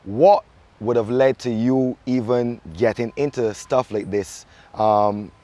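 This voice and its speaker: A man hosting an interview, asking questions in a relaxed way and giving short agreeing replies. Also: medium pitch, slow pace. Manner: relaxed, with frequent short affirmations.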